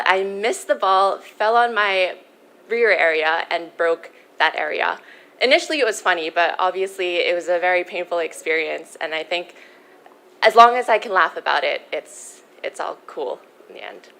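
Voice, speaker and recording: A young woman from the Philippines, a pageant contestant answering interview questions in a poised, earnest, measured way. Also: fairly low pitch, a bit bright. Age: young adult.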